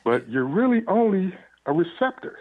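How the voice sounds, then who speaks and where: A man's voice: high voice, sing-song, moderate pace, dry recording. Man on a tinny phone line. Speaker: guest, police officer and author. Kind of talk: casual reflective interview talk. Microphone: phone or call line.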